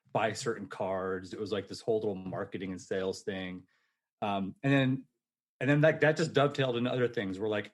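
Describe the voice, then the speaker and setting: Upbeat forty-year-old guest, laughing often. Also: male voice, lowish voice. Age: 40s. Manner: energetic, laughs easily, casual. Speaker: podcast guest. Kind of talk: casual storytelling interview.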